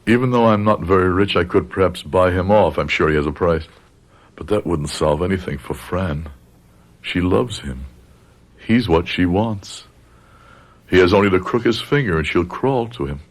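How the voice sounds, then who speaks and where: A man's voice: low-pitched, moderate pace. An actor playing a bitter, grieving middle-aged father with a grave, dramatic manner in a radio drama. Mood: brooding, resolved. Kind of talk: radio drama acting with first-person narration.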